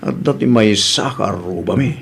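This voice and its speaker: A man's voice: on the low side, normal speed, creaky, husky, heavily acted. A man playing a humble, polite husband in an audio drama, deferential and easygoing, with an Ilocano accent. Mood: easygoing, pleased.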